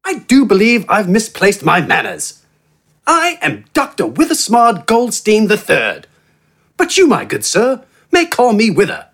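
A man voicing an eloquent, friendly, pompous gentleman doctor in an audiobook, with a relaxed and flowery flow of words. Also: high-pitched, measured pace, pitched above the performer's own voice, exaggerated voice. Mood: suave.

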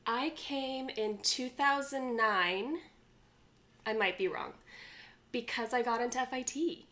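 A woman in her thirties from California, a fashion designer guest on a casual podcast, self-deprecating and quick to laugh. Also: on the high side, pushed and bright. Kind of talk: casual interview chat.

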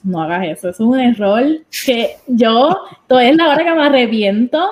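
A young woman with a Puerto Rican accent, talking in a relaxed, chatty way and slipping English words like "so" into her Spanish. Her voice sits fairly high, and she tells her stories quickly and easily. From Puerto Rico. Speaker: guest streamer. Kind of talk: casual chat on a podcast.

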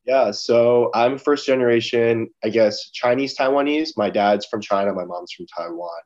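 A man's voice: mid-range voice, normal speed. A young man from Los Angeles, chatting casually as a podcast guest from his office, rambling with plenty of fillers. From Los Angeles, California. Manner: relaxed, rambling, many fillers like "you know". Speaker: guest, lawyer. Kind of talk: casual interview chat. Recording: dull lo-fi sound.

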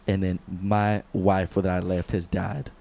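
A man's voice: deep voice, flat delivery, unhurried, creaky, warm tone. A young man co-hosting a podcast, rambling through a silly made-up story, swearing and laughing often. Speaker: podcast co-host. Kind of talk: casual comedic chat.

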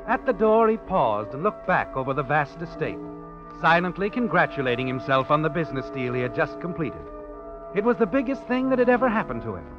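An actor playing a mysterious, all-knowing storyteller, narrating suspense in an ominous, dramatic 1940s radio style. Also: male voice, on the high side. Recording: muffled old radio recording with music.

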